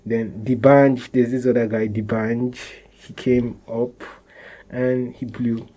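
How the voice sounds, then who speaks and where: A young man with a Nigerian accent speaks at an unhurried, slow pace. His voice is dark and mellow, and he answers casually, restarting phrases and chuckling now and then. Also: mid-range voice, highs cut off. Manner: relaxed, repeats and restarts phrases, laughs softly. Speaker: interviewee, musician.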